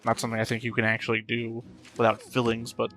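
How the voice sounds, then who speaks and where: Bright-voiced man musing aloud. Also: mid-range voice, completely flat delivery, quick pace, faint music behind. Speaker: reaction show host. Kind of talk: casual reaction commentary.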